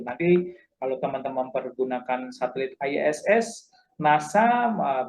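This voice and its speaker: A man giving an informal, instructive tutorial, halting at times and repeating key points. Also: mid-range voice, darkish tone, low-quality recording. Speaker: amateur radio instructor.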